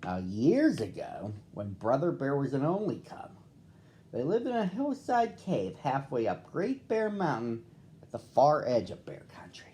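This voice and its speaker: Man, mellow dark tone, children's read-aloud, expressive deliberate phrasing, storytelling delivery. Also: mid-range voice, a little hiss or hum. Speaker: storybook reader.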